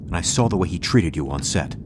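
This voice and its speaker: A man's voice: sits low, expressive, fast, slight background noise. A man playing an earnest, protective, guilt-ridden actor in a comedic audio drama, confessional in manner. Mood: grave, earnest.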